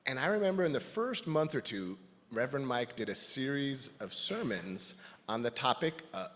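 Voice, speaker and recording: A man's voice: medium pitch, fairly bright tone. A man giving an informal, playful personal reflection to a congregation. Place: large indoor church space. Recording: echoey hall with long reverb.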